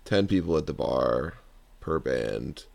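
A male podcast host with a remarkably low, bassy baritone and a gravelly edge. He explains his points in a measured, step-by-step way. The recording is close and dry, with no room sound. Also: lively intonation, a little mellow, dry recording. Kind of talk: casual conversation.

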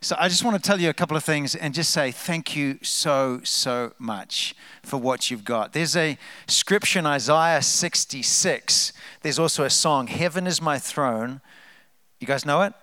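A man with a New Zealand accent, a guest speaker telling testimonies at a church, animated and rousing the congregation. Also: on the high side, measured pace, dry recording. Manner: animated, hypes up the crowd, trails off into asides. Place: church sanctuary.

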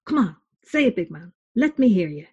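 A woman voicing a kind young man who gently coaxes and reassures his younger brother. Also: medium pitch, big pitch swings, fairly quick, husky, muffled recording. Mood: coaxing.

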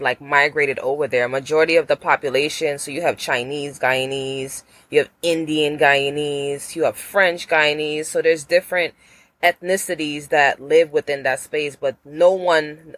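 Low-voiced hesitant woman. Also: a bit bright, no echo. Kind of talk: casual chat.

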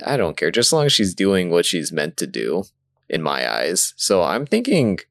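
A man with a very low voice talks through his ideas in casual podcast chat. His pitch moves up and down in a lively way, and the sound is dry. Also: no echo. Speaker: podcast panelist. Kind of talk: casual animated chat about anime.

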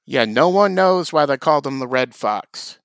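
A man co-hosting a podcast, delivering deadpan dry jokes in casual comedic chat. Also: medium pitch, moderate pace, no echo. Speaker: podcast co-host.

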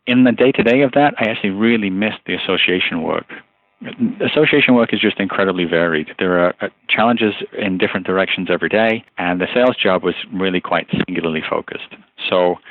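A man with a faded Liverpool accent mixed with Northern English and North American tones, answering interview questions in a measured, explanatory way. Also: sits low, dry recording. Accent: faded Northern English, Liverpool base, with a Canadian mix. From Liverpool, England. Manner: measured, explanatory, with small restarts. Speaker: association chief executive, interview guest. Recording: thin, phone-like line.

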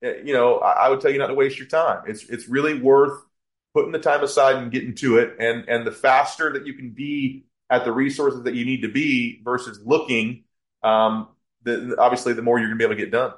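A man's voice: medium pitch, steady tone, a little fast. Man explaining as an interview guest. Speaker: podcast guest, business owner.

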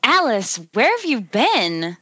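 A woman's voice: a little high, sing-song, slow pace, fairly bright tone, breathy edge, full character voice. A woman playing an irritated, sarcastic young coworker in a film script table read. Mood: irritated sarcasm. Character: pissed-off, sarcastic young waitress friend. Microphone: Skype call. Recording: dull internet call.

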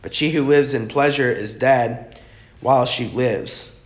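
A man with a hoarse, worn-out voice, teaching an informal church class in a soft, rambling way that corrects itself often. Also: medium pitch, fairly even intonation, a little slow. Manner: rambling, self-correcting, talks softly. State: hoarse, lost his voice. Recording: muffled, echoey room with faint noise.

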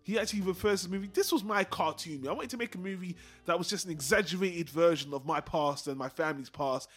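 A man's voice: high-pitched, fast talker, fairly bright tone, breathy edge, soft background music. A man co-hosting a casual film discussion, rambling and repeating himself for emphasis. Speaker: podcast co-host.

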